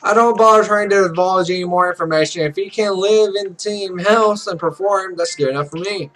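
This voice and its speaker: A man's voice: sits high, a little fast, fairly bright tone, rough recording. A man reading a visual novel aloud as a let's player, with casual, sweary asides. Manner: sweary, loose off-script reactions. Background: game music.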